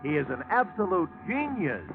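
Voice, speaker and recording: A man's voice: high-pitched, sing-song, normal speed, over loud music. An American man doing polished, emphatic scripted announcing in the style of 1940s network radio. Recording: muffled vintage radio recording.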